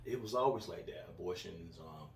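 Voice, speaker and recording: A man in his forties, co-hosting a casual political chat, animated and fond of acting out other people's reactions. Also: deep voice, normal speed. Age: 40s. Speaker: co-host. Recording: echoey room, distant mic, faint noise.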